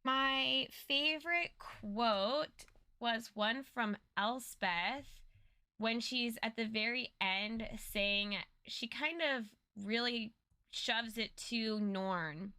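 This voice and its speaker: A woman with a bright, fairly high voice talks in a dry-sounding space. She gives casual commentary as a show co-host, explaining things earnestly. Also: very slow, smooth, no echo. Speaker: co-host of a story recap show.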